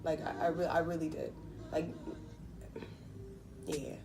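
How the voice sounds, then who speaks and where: A woman in her twenties speaking candidly as an interview guest, reflective and halting, with casual swearing. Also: sits low, little pitch movement, recorded from a distance, low-quality recording. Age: 20s. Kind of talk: candid personal conversation. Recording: off-mic voice under prominent music. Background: music.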